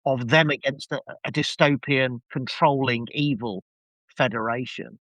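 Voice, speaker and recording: Halting, conversational man, very dry sound. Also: mid-range voice, steady tone, fairly bright tone, no room sound. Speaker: podcast guest. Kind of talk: casual discussion of cult TV.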